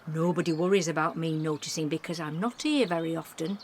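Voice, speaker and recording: A woman's voice: low voice, brisk, slight background noise, natural voice. A woman playing a nosy, cheeky wartime working woman in a radio play, chatty and blunt. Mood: nosy, gossipy. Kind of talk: amateur radio play acting.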